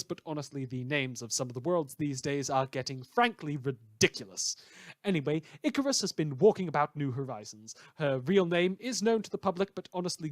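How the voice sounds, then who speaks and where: A man's voice: on the high side, no echo, studio quality. A man playing an opinionated, wry radio news host, delivering a chatty, theatrical monologue with sardonic asides in an audio drama. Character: opinionated, indignant, wry male small-station radio presenter.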